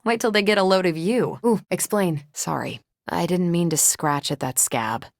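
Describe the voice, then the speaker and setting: An American woman voicing a confident, wisecracking female superhero with military briskness and wry, assured delivery in a video game. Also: lowish voice, creaky, no room sound, clean studio sound. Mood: earnest. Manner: wry, assured, casually sarcastic.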